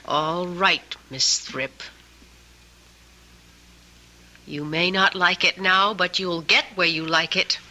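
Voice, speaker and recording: A woman's voice: on the low side, a little slow, bright, forceful tone. An actress playing a domineering, manipulative woman houseguest with a commanding, patronizing manner, in old-time radio drama style. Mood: smug, controlling. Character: domineering, bossy woman houseguest, coolly manipulative, quick to anger.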